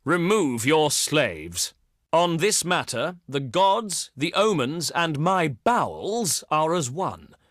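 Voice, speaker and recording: A man playing a haughty, wry ancient Greek diplomat in a strategy game, with pompous, courtly delivery and dramatic pauses. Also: on the high side, big pitch swings, fairly bright tone, no echo, studio quality, exaggerated voice. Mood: stern, indignant. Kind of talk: theatrical scripted game dialogue.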